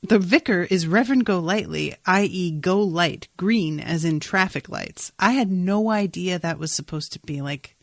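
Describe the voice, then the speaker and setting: A woman's voice: fairly low pitch, moderate pace, few pauses, no room sound, studio quality. A woman podcast host talking through a TV episode in an informal, rambling way with exclamatory asides. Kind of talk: casual TV recap chat.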